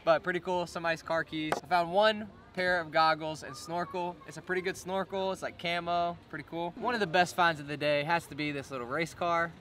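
A man's voice: on the high side, fairly even intonation, fairly quick, few pauses, a bit bright, slight background noise. A young man, a diving vlogger, chatting outdoors at a picnic table as he shows what he found, upbeat and laughing often. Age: young adult. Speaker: treasure-hunting diver and vlogger. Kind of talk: casual show-and-tell vlog.

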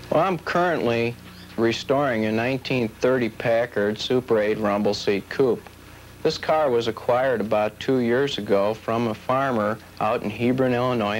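A man talking casually in an interview as a collector describing what he owns. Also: medium pitch. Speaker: collector of antique cars. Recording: slightly noisy 1970s videotape.

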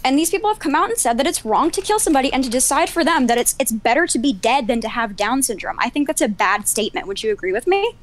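A woman's voice: sits high, expressive, fast, hardly any pauses. A nineteen-year-old woman in a heated debate, pressing pointed questions again and again. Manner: insistent, repeating pointed questions. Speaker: debate guest.

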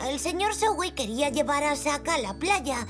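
A woman's voice: high-pitched, animated, moderate pace, a bit bright, soft background music. A woman with a Castilian Spanish accent voicing an eager, polite five-year-old boy zebra in a children's cartoon, chirpy and earnest.

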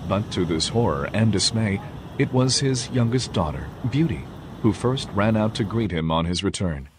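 A man's voice: on the low side, lively intonation, moderate pace, noisy background. A man reading a fairy tale as scripted narration, in the role of a storyteller.

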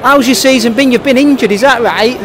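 A man's voice: very high-pitched, a little fast, a crowd in the background. A man asking questions as an interviewer in a casual, chatty style. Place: indoor trade show. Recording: noisy trade show hall, background ambience.

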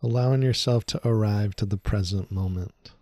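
A man leading a guided meditation in a soothing, unhurried way, speaking in short phrases with long pauses. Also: on the low side, a little mellow, no room sound, studio quality.

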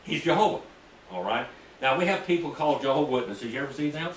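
A man's voice: mid-range voice, lively intonation, fast, a little hiss or hum. An older man teaching a Bible class in a small church room, quizzing his listeners and reading scripture aloud. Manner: folksy, asks the class questions, reads scripture aloud. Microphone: room mic from a distance. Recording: echoey room, heard from a distance.

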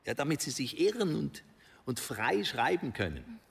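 An actor playing an older banker in a radio drama, persuasive and condescending, with measured phrasing. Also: male voice, medium pitch, big pitch swings, vocal fry, husky, big-room sound, full character voice. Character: smooth older male banker, persuasive, patronizing, discreet.

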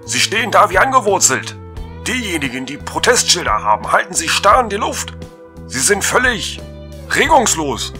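An actor playing a nervous reporter calling in a strange scene in a radio drama. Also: male voice, high voice, sing-song, moderate pace, over music. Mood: alarmed. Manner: tense, alarmed. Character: nervous male reporter on a rooftop. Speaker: field reporter.

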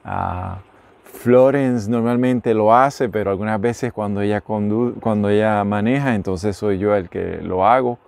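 A man's voice: lowish voice, measured pace, vocal fry, a little mellow, clear, resonant tone. A middle-aged man with a Puerto Rican accent, chatting casually to his phone camera inside a motorhome, rambling and correcting himself as he goes. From Puerto Rico. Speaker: vlogger. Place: inside a motorhome, small room. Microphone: phone at arm's length.

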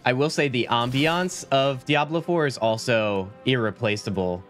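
Male streamer, 30s, relaxed rambling delivery, mid-pitched, a bit under the weather, faint game music. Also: moderate pace. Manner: laid-back, rambling, says "like" and "dude" a lot. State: sick, voice slightly deeper. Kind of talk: casual game stream chat. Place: small home room. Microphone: studio mic at desk, webcam framing.

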